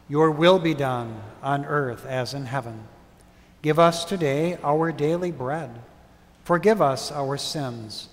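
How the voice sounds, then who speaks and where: A middle-aged man leading prayers and a blessing as pastor, reading in a measured, solemn way in a large church. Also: a little high. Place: large brick church sanctuary. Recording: long church reverb.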